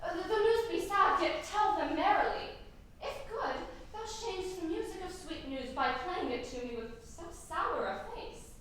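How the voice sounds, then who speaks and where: Lovestruck, pleading young girl, played by a woman, high voice with lots of hall echo. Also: animated, moderate pace, a bit bright, slight background noise. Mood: impatient. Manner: eager, pleading, declaiming verse. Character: impatient young girl in love. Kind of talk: stage Shakespeare acting. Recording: echoey hall from far off.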